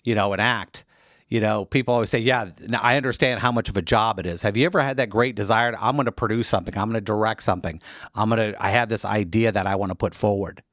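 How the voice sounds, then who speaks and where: A man's voice: on the low side, quick pace, a bit bright, dry recording, clean studio sound. A man hosting an interview show, asking long, chatty, rambling questions in casual talk. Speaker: interview host.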